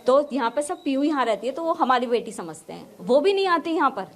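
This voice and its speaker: A woman's voice: high voice, expressive, fairly quick. A middle-aged woman, answering interview questions in an emphatic, emotional way and repeating herself. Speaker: mother being interviewed.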